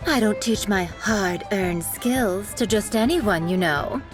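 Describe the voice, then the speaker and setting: A woman's voice: mid-range voice, lightly acted. A woman voicing a coy teasing shopkeeper. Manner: playful, coy, drawn-out teasing. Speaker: perfume shop owner and tailor. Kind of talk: game voice acting. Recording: game dialogue over clear music.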